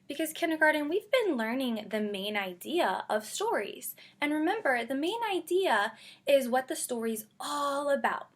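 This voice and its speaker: A woman's voice: sits high, husky. A woman kindergarten teacher giving a read-aloud lesson, speaking simply and instructively and addressing young children directly. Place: bedroom at home.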